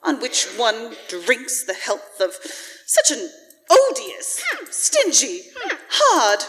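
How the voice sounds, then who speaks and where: A woman's voice: a little high, huge pitch swings, slow, fairly bright tone, slight background noise, exaggerated voice. A woman in a live radio play, playing a feisty, indignant wife and mother with a tart, emphatic delivery. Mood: scathing. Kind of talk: live radio-play acting of a Victorian story. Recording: live in a reverberant church hall.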